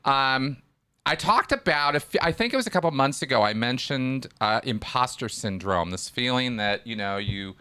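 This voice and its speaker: Bright, crisp-voiced male podcast host. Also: mid-range voice, moderate pace. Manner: relaxed, rambling, many restarts. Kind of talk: casual live call-in chat.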